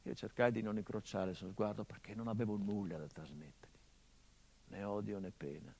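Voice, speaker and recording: A middle-aged actor playing a grieving son whose father was murdered, speaking a theatrical monologue in a measured, grave, introspective way. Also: on the low side, steady tone, gravelly, a little mellow, slightly rough.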